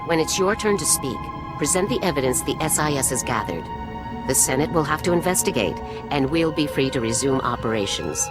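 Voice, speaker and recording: Stern female military commander, deep voice, formal briefing tone, video game cutscene. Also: lively intonation, brisk. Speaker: commanding officer. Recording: music behind the voice.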